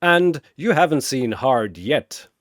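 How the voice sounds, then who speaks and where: A cheerful panda-man brewmaster, acted by a male narrator in a battle story. He is bold and confident, with lively pitch and a dry, close sound. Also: takes it slowly, no room sound. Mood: cheerful correcting.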